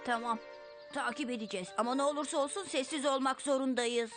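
A woman voices a young cartoon bunny who takes charge of the siblings. The voice is high and youthful, firm and earnest, with music behind it. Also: fast, natural voice. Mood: determined. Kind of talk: children's cartoon dub.

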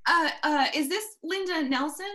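A young woman playing an anxious, awkward half-sister in a table read, halting and stammering. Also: high voice, sharp tone, a little hiss or hum. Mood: nervous. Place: indoors, on a video call.

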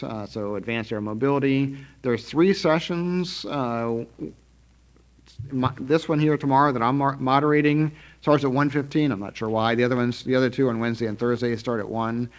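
A middle-aged man giving an unscripted press briefing from slides at a trade expo, halting often with fillers and restarts. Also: mid-range voice. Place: conference room at a trade expo.

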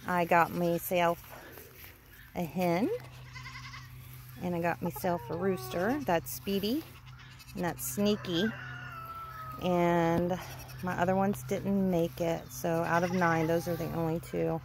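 A woman's voice: on the low side, little pitch movement, takes it slowly, long pauses, background noise. A woman casually narrating a walk around her farm on a phone camera, chatty and cooing sweetly to her animals. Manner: chatty, talks sweetly to animals, unsure of breeds. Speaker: vlogger touring her farm. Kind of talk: casual walking tour narration. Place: outdoors on a farm among animals. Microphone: phone camera held while walking.

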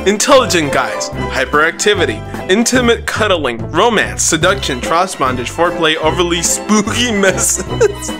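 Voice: mid-range voice, big pitch swings, normal speed. A giggly person doing a mocking, dramatic comedic reading of text from the internet. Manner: giggly, often breaking up while reading. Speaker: online video maker reading a text aloud. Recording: music behind the voice. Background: music.

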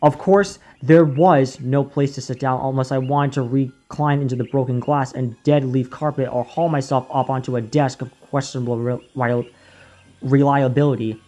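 A man reading a horror story aloud in a slow, deliberate way, now and then stumbling over a word and restarting it. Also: mid-range voice, fairly even intonation, dark tone. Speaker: narrator. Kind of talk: creepypasta reading.

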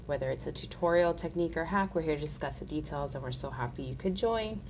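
Low-voiced nasal woman with a cold. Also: fast talker, a little mellow, a little hiss or hum. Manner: chatty and upbeat, with fillers. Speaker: nail art host. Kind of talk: casual live tutorial.

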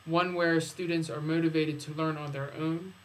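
A man with a slightly high voice speaks as an English teacher introducing his classes. His phrasing is formal and careful, and there is some noise behind him on the recording. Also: fairly even intonation, moderate pace, low-quality recording. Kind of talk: video introduction of own classes, prepared talk.

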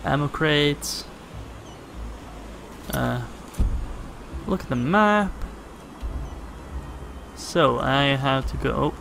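Slow, rambling gaming commentator. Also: male voice, mid-range voice, expressive, gravelly, a little hiss or hum. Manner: rambling, thinking aloud, many fillers. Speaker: gaming channel host. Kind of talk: casual gameplay commentary. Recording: voice over loud game music. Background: game music.

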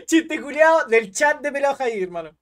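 An excitable man with a Chilean accent comments casually as a reaction streamer. His pitch moves around in lively swings, and his talk is loose and full of slang. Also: moderate pace, slight background noise. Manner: slangy, excitable, laughs readily.

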